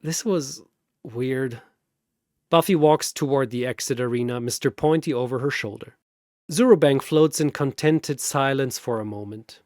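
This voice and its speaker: A man narrating a scripted battle story in a theatrical, deliberate way, like a dramatic commentator. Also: mid-range voice, a little mellow, no echo, studio quality.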